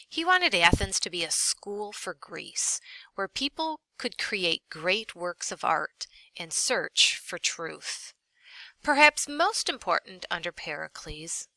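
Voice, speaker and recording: Woman, teacher, textbook read-aloud, lively intonation, deliberate phrasing, close dry sound. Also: on the low side, no room sound, low-quality recording. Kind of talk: read-aloud lesson narration.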